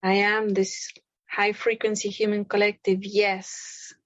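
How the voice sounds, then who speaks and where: A woman guiding a meditation in gentle, deliberate short phrases with long pauses between them. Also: mid-range voice, monotone, dry recording, muffled recording. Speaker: meditation guide. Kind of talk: guided meditation, spoken slowly.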